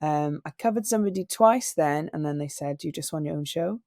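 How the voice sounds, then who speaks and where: A young woman, a singer and radio presenter, chatting in a relaxed interview and acting out the people in her stories. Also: sits low, fairly quick, no room sound, clean studio sound. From Wales. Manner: animated storyteller, acts out quoted people.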